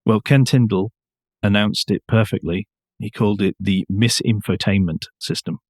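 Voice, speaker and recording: Male podcast co-host, fairly low voice, opinionated and conversational, very dry recording. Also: fairly even intonation, normal speed, darkish tone, dry recording. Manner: opinionated, emphatic. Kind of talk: casual discussion of car news.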